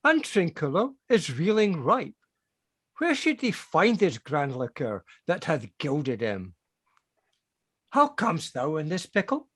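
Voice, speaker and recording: A man's voice: fairly high pitch, wildly swooping pitch, slow, a bit bright, slightly rough, dry recording, studio quality, exaggerated voice. A man playing an astonished king in a Shakespeare play reading. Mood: incredulous.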